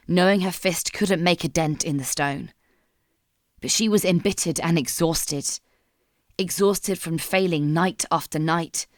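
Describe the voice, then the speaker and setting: A woman narrating a dramatic story from a script in a somber, measured manner. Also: lowish voice, no echo, studio quality.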